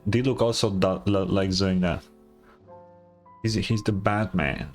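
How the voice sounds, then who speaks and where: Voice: sits low, steady tone, unhurried, darkish tone. A game streamer giving casual reaction commentary, incredulous and stammering, swearing freely. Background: game music.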